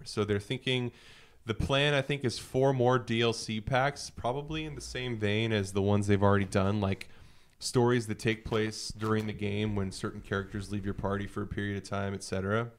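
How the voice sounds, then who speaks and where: A man with a low, clear voice talks casually as a podcast panelist. His delivery is conversational and a little hesitant, as if working out his thoughts while he speaks. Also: fairly even intonation, moderate pace. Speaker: podcast panelist discussing video games. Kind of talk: casual chat.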